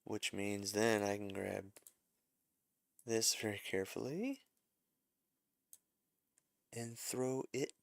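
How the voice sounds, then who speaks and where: Man, arranging teacher, slow and unhurried, gravelly low voice, thinks aloud with fillers, dry close mic. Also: dry recording. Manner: thinks aloud, many fillers and self-corrections. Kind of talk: casual screen-share music lesson.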